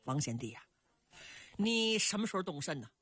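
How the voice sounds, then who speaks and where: Female storyteller as elderly male general: husky, earnest, protective, animated intonation. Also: on the low side, moderate pace, no room sound, full character voice. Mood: concerned. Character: concerned old male general, brotherly and cautioning.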